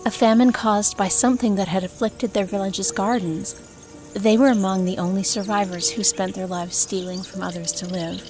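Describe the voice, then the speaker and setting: A woman's voice: mid-range voice, fairly even intonation, moderate pace, close to an everyday voice. Woman acting an earnest elf mother over music. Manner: earnest, explanatory. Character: caring, practical swamp elf mother. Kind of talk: fantasy audio drama acting.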